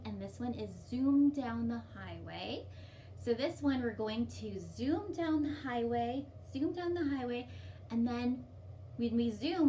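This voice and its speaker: A woman's voice: high-pitched, mellow. A woman presenting storytime for young children, reading and explaining in simple, child-directed language. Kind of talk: storybook read-aloud and singalong instruction for young children. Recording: distant mic, light background noise.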